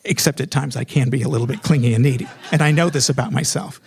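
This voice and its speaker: A man's voice: medium pitch, quick pace, vocal fry, a little mellow, slightly rough. A middle-aged American man telling a personal story in a comedic, self-mocking way, animatedly acting out remembered dialogue.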